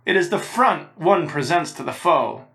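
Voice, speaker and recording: A woman's voice: fairly low pitch, lively intonation, a little slow, a bit bright. A woman voicing a gallant male knight with pompous, archaic, chivalrous speech for a storybook. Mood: brave, proud. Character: weary, gallant old-fashioned male knight in armour. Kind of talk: dramatic storybook reading.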